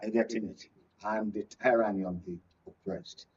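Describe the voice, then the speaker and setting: Husky Nigerian male political analyst, distant sound. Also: lowish voice, steady tone, normal speed, darkish tone. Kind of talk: political panel discussion. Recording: dull, distant studio sound.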